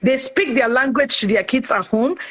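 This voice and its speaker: A middle-aged woman from Nigeria, talking in an animated, chatty way as a guest in a casual discussion. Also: sits high, normal speed. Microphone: online call.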